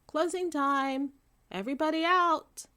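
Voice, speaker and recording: Businesslike storybook shop manager, brisk yet helpful performed by a female reader. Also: sits high, bright, forceful tone, pitched above the performer's own voice. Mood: calling out. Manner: businesslike, calling out. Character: brisk, obliging laundromat manager.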